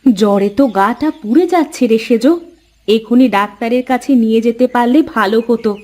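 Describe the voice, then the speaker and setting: A woman's voice: fairly high pitch, brisk, darkish tone, lightly acted. A woman voicing a dutiful, worried young village co-wife in a cartoon fairy tale, earnest and pleading.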